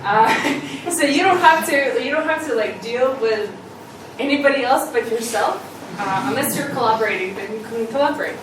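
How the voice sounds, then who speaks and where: A woman's voice: fairly high pitch, lively intonation, normal speed. Chatty woman speaking in an echoey hall. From Ukraine, raised in Canada. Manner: chatty and self-deprecating, with lots of ums and laughs. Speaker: meetup speaker. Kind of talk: casual conference talk. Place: meetup room, echoey hall. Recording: echoey room, distant mic, noisy.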